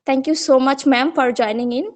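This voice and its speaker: Woman moderator, high voice, polite and formal, slightly distant video-call audio. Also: normal speed. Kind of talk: formal introduction.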